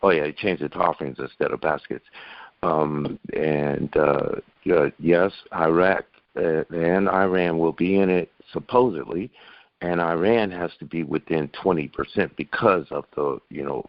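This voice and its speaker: Really deep voiced guy phoning in, folksy rambling, muffled phone line. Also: steady tone, a little slow, dry recording. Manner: folksy, jokey slang, hesitant. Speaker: caller. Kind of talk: casual rambling phone talk. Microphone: telephone.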